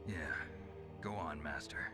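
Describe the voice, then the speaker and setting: An actor playing a hardened soldier hero in a video game, speaking in curt questions. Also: male voice, rumbling low, measured pace. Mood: guarded. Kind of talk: dramatic video game voice acting. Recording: echoing game audio with music. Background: game music.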